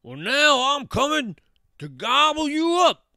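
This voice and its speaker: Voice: mid-range voice, sing-song, sharp tone, no room sound, studio quality, pitched above the performer's own voice, full character voice. A storyteller voicing a hungry, bullying troll with a roaring, menacing delivery for a children's folk tale. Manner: roaring, menacing, drawn-out threats.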